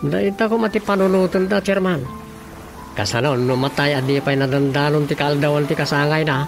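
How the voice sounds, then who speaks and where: A man's voice: a little high, brisk, lightly acted. A man playing a village chairman's grave, disapproving confidant in an Ilocano radio comedy drama, with an Ilocano accent. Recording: music bed behind the voice.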